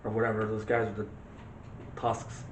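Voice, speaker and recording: A man's voice: lowish voice, monotone, a little slow. A man co-hosting a movie discussion in a casual, joking, conversational way. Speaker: co-host of a movie discussion show. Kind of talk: casual chat about movies. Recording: roomy echo with light background noise.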